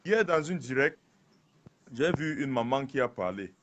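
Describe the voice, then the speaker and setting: A man's voice: medium pitch, animated, unhurried, lo-fi sound, noisy background. A man calling in to a live stream, pressing his point and interrupting with repeated words. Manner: insistent, interrupts, repeats himself. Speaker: caller. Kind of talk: call-in conversation.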